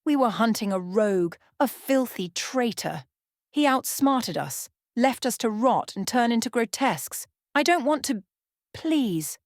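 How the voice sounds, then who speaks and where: A woman's voice: a little high, animated, unhurried, dry recording, studio quality, voice pushed up. A woman voicing a desperate male vampire in a bedtime story, whimpering and pleading with a cracking delivery.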